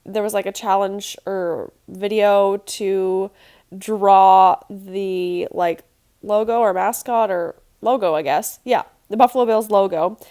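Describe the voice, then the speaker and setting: A woman's voice: mid-range voice, fairly even intonation, slow pace, dark tone. A young woman with a nasal, congested voice, chatting casually with many ums as she talks through a craft project. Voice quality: nasal from allergies. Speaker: scrapbooking channel host.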